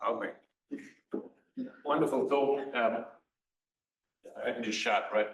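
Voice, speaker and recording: A man in the audience speaking loudly from the floor of a lecture hall, wry and chuckling. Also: mid-range voice, slow pace. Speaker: audience member asking. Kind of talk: question from the audience. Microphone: far from the mic. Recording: roomy hall from far off.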